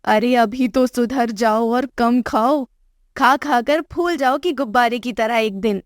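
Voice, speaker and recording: A woman acts out a middle-aged mother-in-law of a rich house, haughty and scolding. Her voice sits fairly high and is closely recorded with no room sound. She nags and insults in an exasperated cartoon-story manner. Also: unhurried, no echo, studio quality, close to an everyday voice. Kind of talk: Hindi moral-story cartoon dialogue.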